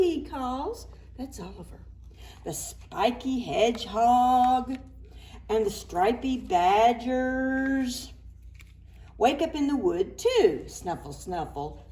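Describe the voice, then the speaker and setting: A woman, a children's librarian, reading picture books aloud at preschool story time in an animated, sing-song way with soft whispered asides. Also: on the high side.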